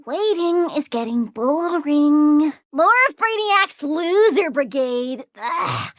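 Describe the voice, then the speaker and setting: A woman's voice: high-pitched, animated, slow pace, no echo, clean studio sound. A woman voicing a bratty, eager little girl plant villain and scientist in a video game, petulant and cutesy, scornful of humans. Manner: petulant, cutesy, childlike delivery with sighs and scoffs. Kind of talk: video game character voice lines.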